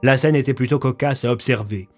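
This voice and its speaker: A man narrates an audio fiction in a medium-pitched voice. His scripted storytelling runs over faint background music. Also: brisk.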